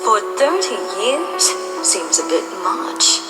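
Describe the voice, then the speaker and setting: A woman's voice: fairly high pitch, very animated, slow. A woman playing a taunting, seductive shadow villain in an audio drama, with a mocking, menacing manner. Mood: sardonic, taunting. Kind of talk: audio drama acting with singing. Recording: loud music over the voice.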